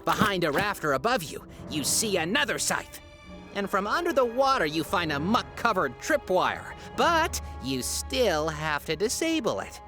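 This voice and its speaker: An actress playing a playful, teasing game master narrating a tabletop game in a comedy audio drama. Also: female voice, mid-range voice, big pitch swings, normal speed, fairly bright tone, soft background music. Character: gleeful young woman game master, mischievous.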